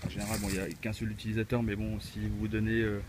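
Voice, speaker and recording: A man's voice: on the low side, little pitch movement, fast talker, background noise. A man with a cold giving a casual, hesitant unboxing walkthrough as a tech reviewer, with many filler sounds. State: has a cold.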